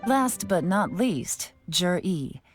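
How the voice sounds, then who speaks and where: A woman playing a shrewd, composed young investigator in a video game, speaking in a cool, assured, measured way. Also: lowish voice, big pitch swings, faint music behind. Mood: analytical. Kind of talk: video game character acting.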